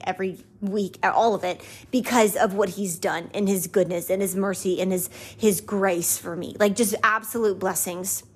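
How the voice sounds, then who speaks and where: A woman's voice: mid-range voice, fairly bright tone, breathy edge. A woman in her twenties hosting a podcast, talking earnestly and confessionally about gratitude and faith. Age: 20s. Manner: earnest and confessional, voices her own doubts in a mocking lilt. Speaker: podcast host. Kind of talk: personal faith reflection monologue.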